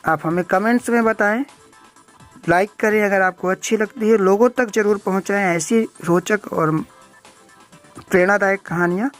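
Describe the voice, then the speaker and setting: A woman's voice: mid-range voice, normal speed. A woman hosting a story show, telling a moral tale and explaining it directly to listeners in short phrases. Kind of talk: spoken storytelling with a moral lesson, addressed to listeners. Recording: music bed under the voice. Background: background music.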